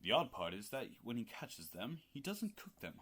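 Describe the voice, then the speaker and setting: A man's voice: mid-range voice, wildly swooping pitch, normal speed, fairly bright tone, breathy edge, exaggerated voice. A young man acting a haughty, critical uncle who dictates a formal, disdainful letter in a radio play. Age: young adult. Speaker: student actor.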